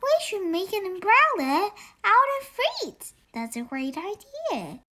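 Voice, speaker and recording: A young girl as an excitable high-voiced little owl. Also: takes it slowly, heavily acted. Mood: chatty. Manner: exclaiming, animated. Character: excitable young owl schoolchildren, eager and friendly.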